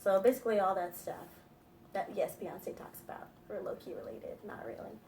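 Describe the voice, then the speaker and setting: A woman's voice: medium pitch, moderate pace. Chatty twenty-something woman, lots of likes and ums, roomy echo. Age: 20s. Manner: thoughtful, chatty, many fillers. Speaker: interviewee. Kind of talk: casual interview answers. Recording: roomy echo, from a distance, faint noise.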